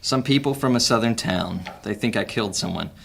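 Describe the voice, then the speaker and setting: A cunning master thief, played by a man in a radio play, with a low, gravelly voice. He speaks smoothly and slyly and gives away little. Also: a little fast, a bit bright, a little hiss or hum. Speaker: actor. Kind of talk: audio drama acting.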